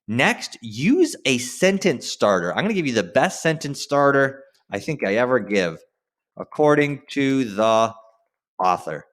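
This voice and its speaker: A male teacher giving an instructional screen-share lesson. His pitch moves in very lively swings as he stresses key phrases. He sounds informal yet directive, often checking in with his students. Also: a little slow.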